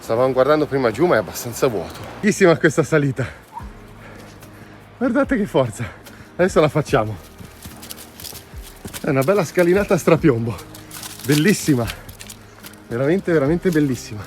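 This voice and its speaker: A man's voice: medium pitch, lively intonation, fairly quick, pauses often, darkish tone, faint music behind. A man chatting casually and enthusiastically as he records a hiking vlog outdoors, in an informal, exclamatory way. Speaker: hiking vlogger. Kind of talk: casual vlog chat while walking outdoors. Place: outdoors on a mountain trail. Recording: outdoor noise, ambient hiss.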